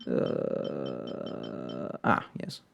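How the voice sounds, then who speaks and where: A man's voice: a bass voice, flat delivery, drawn-out words, vocal fry. A man giving casual live commentary as a game streamer, rambling and muttering to himself with playful sarcasm.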